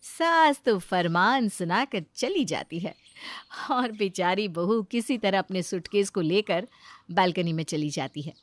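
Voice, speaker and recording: A woman's voice: mid-range voice, animated, unhurried, no echo. Female narrator for scripted comic domestic tales; mid-range voice, dry recording.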